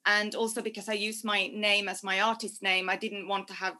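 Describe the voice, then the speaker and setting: A woman's voice: medium pitch, level tone, fairly quick, pushed and bright. A woman from Norway, answering interview questions conversationally as a guest life coach. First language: Norwegian.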